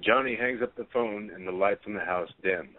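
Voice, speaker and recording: A man's voice: fairly low pitch, fairly quick. A man reading stage directions as scripted narration for an audio play. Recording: dry, narrow phone-like sound.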